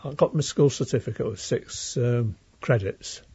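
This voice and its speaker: A man's voice: mid-range voice, normal speed, mellow, slightly rough, no echo. An elderly man from Leeds with a Yorkshire accent, reminiscing in an unscripted, plain-spoken interview. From Leeds, England. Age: elderly, around 80s. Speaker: interviewee, retired railway worker. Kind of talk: unscripted oral history reminiscence. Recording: dull lo-fi interview recording.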